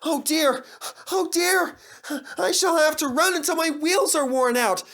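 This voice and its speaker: Storybook little male engine, played by a man: cheeky youngster, high strained voice, frantic and pleading. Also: measured pace, a bit bright, heavily acted. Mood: desperate, weary. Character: small cheeky male tank engine, panicky and exhausted. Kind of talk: children's storybook character voice.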